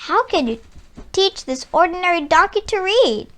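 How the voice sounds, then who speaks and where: A woman's voice: extremely high pitch, very animated, normal speed, fairly bright tone, pitched above the performer's own voice, heavily acted. A woman voicing a humble, worried poor village man in a storybook, respectful and pleading.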